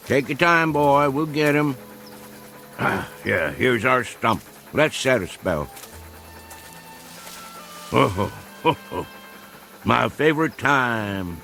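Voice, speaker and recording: A man's voice: mid-range voice, expressive, slow pace, long pauses, sharp tone, soft background music, heavily acted. An actor playing an old rural American hunter in a radio drama, folksy and rambling, talking fondly to his hound. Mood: easygoing, reminiscing. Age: older.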